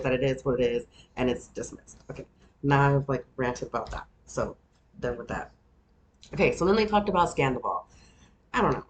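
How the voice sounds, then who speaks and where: A woman's voice: low-pitched, slight background noise. A woman in her thirties with a Texan twang hosts a solo podcast, recapping a reality show in a loose, chatty way. She rambles, pokes fun at herself, and laughs often between thoughts. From Texas, USA. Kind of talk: casual solo chat.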